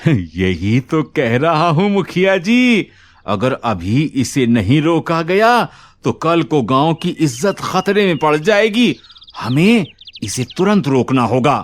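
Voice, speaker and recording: High-voiced, scornful village troublemaker. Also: male voice, big pitch swings, slow. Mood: insinuating. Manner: goading, scornful. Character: scheming, indignant young male village agitator.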